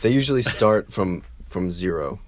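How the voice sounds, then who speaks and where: A man co-hosting a casual podcast chat with a sore, froggy throat and a dry, joking way of thinking out loud. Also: low voice, lively intonation, moderate pace, no room sound. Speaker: podcast co-host.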